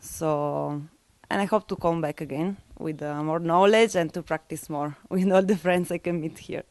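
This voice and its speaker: A woman's voice: sits low, normal speed, a little mellow, no room sound. A woman from Italy giving an earnest testimonial. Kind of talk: short testimonial.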